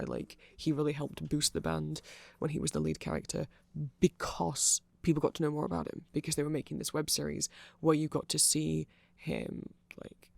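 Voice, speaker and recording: A man's voice: on the high side, creaky, darkish tone, dry recording. A man giving a rambling, nerdy, informal ASMR explainer, often hesitating and interrupting himself. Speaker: ASMR creator explaining band lore.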